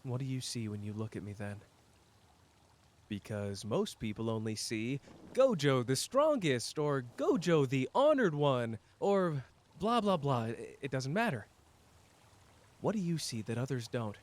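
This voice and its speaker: A man playing a powerful, cocky but wounded sorcerer in an intimate audio roleplay, talking casually and teasingly straight to the listener with pauses. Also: mid-range voice, sing-song, darkish tone. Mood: vulnerable, teasing.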